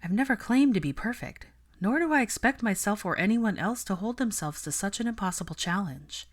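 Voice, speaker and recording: An assertive, articulate witch from a fan-fiction audiobook, acted out by a woman reader. She speaks in measured, formal sentences with a pointed edge, standing her ground. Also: mid-range voice, lively intonation, pitched above the performer's own voice, lightly acted. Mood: earnest, firm. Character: composed, assertive adult witch, defiant and articulate.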